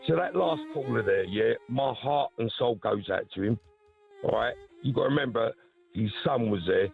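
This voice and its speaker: A man with a croaky voice calling a radio phone-in, animated and full of matey slang. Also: mid-range voice, normal speed, faint music behind. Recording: tinny phone line.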